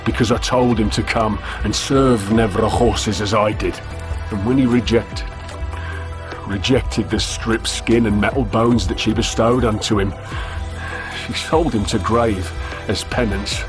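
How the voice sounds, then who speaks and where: An actor playing a solemn male devotee in a sci-fi game, speaking in a measured, sorrowful way. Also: on the low side, fairly even intonation, close to an everyday voice. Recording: game audio with music behind. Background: music.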